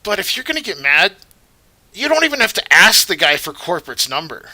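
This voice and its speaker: A male vlogger with a very bright, sharp voice rambles through his commentary. His tone is indignant and moralizing, and his voice sits a little high. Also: very animated, fairly quick, rough recording. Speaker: vlogger host. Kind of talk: commentary vlog.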